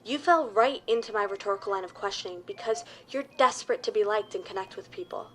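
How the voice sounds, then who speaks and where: An actress playing a blunt, patronizing boss who fancies herself the cool work mom, in a scripted podcast comedy. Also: female voice, medium pitch, fast, lightly acted.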